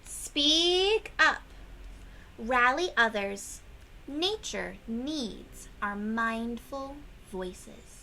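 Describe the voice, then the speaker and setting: A woman reading a picture book aloud to young children, with expressive emphasis and questions to her listeners. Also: fairly high pitch, drawn-out words, slight background noise, rough recording. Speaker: children's read-aloud host.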